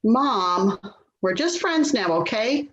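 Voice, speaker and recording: A woman's voice: fairly high pitch, lively intonation, slow, a bit bright. A woman playing an exasperated, worrying adult daughter in a readers theater play, nagging and put-upon, recorded in an echoey room. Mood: weary, defensive. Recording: echoey room, dull sound, faint noise.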